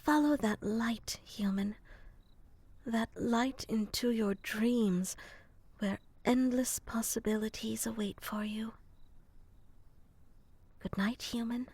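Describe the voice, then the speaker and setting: A woman voicing a gentle, slightly scatterbrained female moth creature in a sleep roleplay. She speaks softly and slowly into a close microphone and sometimes drops to a whisper. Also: fairly high pitch, slightly rough, full character voice. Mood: whispered, tender. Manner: soft-spoken, slow and musing, slipping into whispers. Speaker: ASMR performer.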